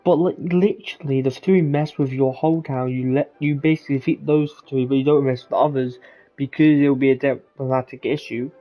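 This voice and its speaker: Mid-pitched man, casual game commentary, halting, repetitive, lo-fi sound, faint music behind. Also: steady tone. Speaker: game streamer.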